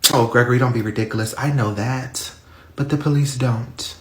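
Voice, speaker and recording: A man's voice: medium pitch, fairly even intonation, moderate pace, slightly rough, close to an everyday voice. A man playing a stern, foul-mouthed mother and grandmother in a comedy skit, scolding bluntly. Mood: scheming. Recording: roomy echo.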